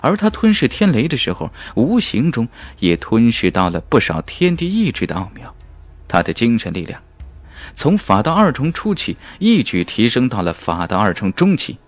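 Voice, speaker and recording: A man's voice: mid-range voice, wildly swooping pitch, normal speed, no echo. A man narrating a fantasy novel in a dramatic, emphatic storytelling manner. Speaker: audiobook narrator. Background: background music.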